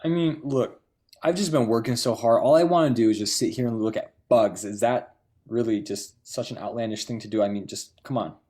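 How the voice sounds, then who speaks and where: A man's voice: medium pitch, a little fast, dark tone, close to an everyday voice. A man performing a weary, exasperated figure in a conversational theatre monologue, pleading and colloquial.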